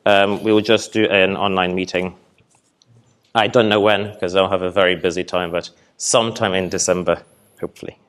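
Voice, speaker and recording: A young man from Poland presenting at a festival hall and taking audience questions, relaxed and hesitant with many ums. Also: deep voice, moderate pace. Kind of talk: conference talk with audience Q&A.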